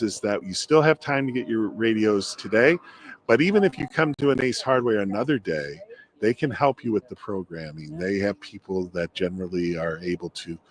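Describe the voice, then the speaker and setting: A man presenting a casual, unscripted live stream from a community event, talking in a halting, rambling way with trailing asides. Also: on the low side, a little hiss or hum. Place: inside a hardware store at a public event.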